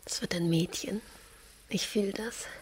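A woman's voice: mid-range voice, unhurried, husky, whispered. An actress playing a down-to-earth village wife and mother in a German radio play, speaking in a homely, insistent way. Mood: tender, hopeful.

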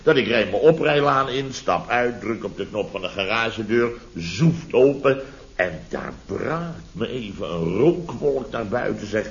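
An actor playing a flustered contractor in a 1970s radio comedy, telling domestic mishaps in halting, repetitive sentences full of tag questions. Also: male voice, on the low side, animated, gravelly. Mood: animated storytelling. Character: flustered male contractor recounting his chaotic domestic mishaps. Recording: dull vintage radio recording.

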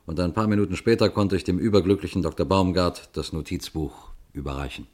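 A deep-voiced calm detective. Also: male voice. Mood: measured. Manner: measured, addresses listeners directly. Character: calm older male police detective. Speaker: detective narrator. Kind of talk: radio play narration.

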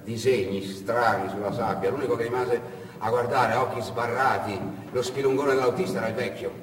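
A male stage storyteller delivering a dramatic, emphatic narrative monologue with pauses, recorded in an echoey space. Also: medium pitch, fairly even intonation. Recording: echoey, noisy hall, far from mic.

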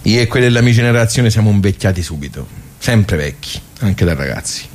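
A man's voice: fairly low pitch, animated, a little fast. An actor playing a bitter, resigned 78-year-old working-class man with a Tuscan accent, rambling colloquially in a radio play. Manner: rambling, colloquial, swearing, world-weary. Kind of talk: radio theatre monologue, colloquial.

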